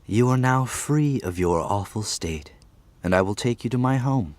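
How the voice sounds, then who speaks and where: A man playing a stately, wealthy lord in an audiobook drama, speaking calmly in formal, archaic phrases. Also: mid-range voice, normal speed, no room sound, close to an everyday voice. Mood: calm, reassuring. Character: stately wealthy lord, calm, insightful, smooth-talking rescuer.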